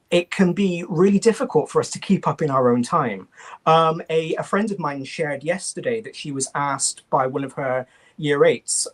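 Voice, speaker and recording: Chatty man hosting a teachers' panel. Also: on the high side. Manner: chatty, stumbling restarts. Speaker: host. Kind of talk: panel discussion.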